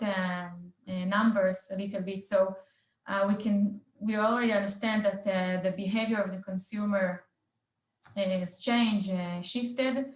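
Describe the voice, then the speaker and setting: A woman hosting a business roundtable, speaking off the cuff and haltingly, with very frequent filled pauses. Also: medium pitch, roomy sound. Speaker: roundtable host. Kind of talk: unscripted business presentation in a panel discussion.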